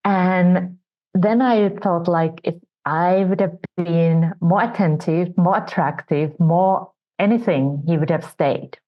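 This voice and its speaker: A woman with a Finnish accent speaks in a low, deep register, taking her time over each phrase. She tells her story softly and reflectively, as a guest on an interview show. Her remote audio sounds muffled and dull. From Finland. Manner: soft, reflective and unhurried.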